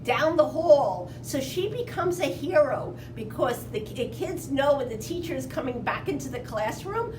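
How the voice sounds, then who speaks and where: A woman, a librarian, recommending a book in a halting, informal way. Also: high voice, expressive. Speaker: children's librarian. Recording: distant mic, noisy background.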